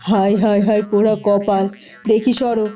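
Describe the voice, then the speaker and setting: A woman's voice: medium pitch, little pitch movement, moderate pace, dark tone, lightly acted. A woman voicing a bossy, fussy middle-aged village mother-in-law in a cartoon, with music behind her. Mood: exasperated. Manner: scolding, exclamatory, fussing. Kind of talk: Bengali cartoon dubbing.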